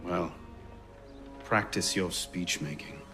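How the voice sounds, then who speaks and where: A sardonic young male assassin in a game cutscene. He keeps his voice low and his lines terse and determined, over clear background music. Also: slow. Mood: wry, intent. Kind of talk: video game cutscene acting.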